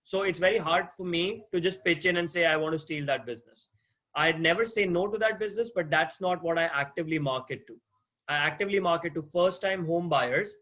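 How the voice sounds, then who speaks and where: A man's voice: fairly high pitch, a little fast, fairly bright tone. Man with an accent giving an online lecture. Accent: non-native English accent. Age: 30s. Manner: conversational, asks the class questions, frequent restarts. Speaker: guest lecturer, mortgage agent. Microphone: video call.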